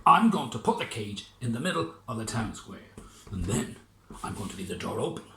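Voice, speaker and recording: A man voicing a proud, self-assured male inventor in a children's story, explaining his plan slowly. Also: lowish voice, big pitch swings, gravelly. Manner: deliberate, self-assured, drawn out. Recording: roomy with faint noise.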